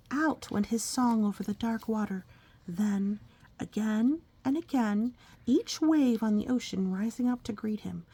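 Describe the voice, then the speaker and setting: Gravelly-voiced mom reading aloud. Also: female voice, medium pitch, darkish tone. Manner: asks and echoes a small child's questions. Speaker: mother reading to her child. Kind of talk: picture-book read-aloud with chat to a child. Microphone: phone recording.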